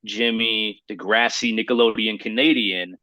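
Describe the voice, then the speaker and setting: A man with a fairly low, bright and forceful voice talks like a podcast host in a casual debate. He is emphatic and slangy, circling back to repeat his point. The sound is dry and close. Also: steady tone, moderate pace, no room sound. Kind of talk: casual hip-hop debate.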